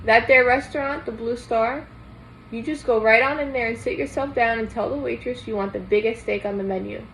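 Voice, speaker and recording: A woman's voice: fairly high pitch, fairly quick, a bit bright, reverberant. Sly small-town man read by a woman, folksy, friendly trickster, storybook, noisy background. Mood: coaxing. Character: sly, folksy male gas station prankster. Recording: clear background noise.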